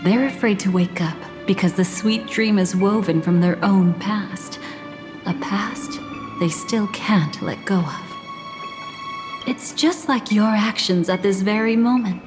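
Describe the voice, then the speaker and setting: An actress playing an enigmatic, composed woman in a video game, guiding a dreamer in a measured, solemn and cryptic way. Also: medium pitch, close to an everyday voice. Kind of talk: scripted video game dialogue. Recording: long hall-like reverb.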